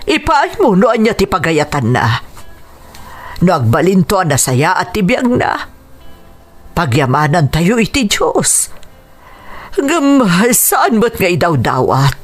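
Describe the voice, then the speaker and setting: A woman's voice: on the low side, sing-song, takes it slowly, long pauses, faint music behind, exaggerated voice. An actress playing a caring, persuasive middle-aged family woman in a radio drama, with a Filipino accent. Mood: pleading. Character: caring, persuasive middle-aged mother and elder sister, practical. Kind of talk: Ilocano radio drama acting.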